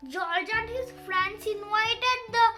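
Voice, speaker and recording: A very high, bright voice reads a picture book for children at an unhurried pace. The delivery is animated and sing-song, in the manner of a storybook narrator. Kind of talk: scripted children's read-aloud narration.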